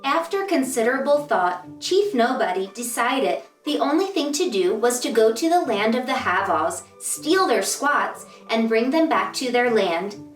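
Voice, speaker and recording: A woman reading aloud to children as a story-time host, with an expressive, deliberate storytelling delivery. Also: a little high. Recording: roomy echo, distant mic, music behind.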